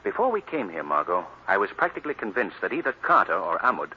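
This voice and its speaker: Urbane, decisive amateur detective, very expressive pitch, on dull, slightly noisy vintage radio. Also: male voice, fast talker. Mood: analytical. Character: decisive, analytical male gentleman sleuth. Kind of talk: 1940s radio mystery acting.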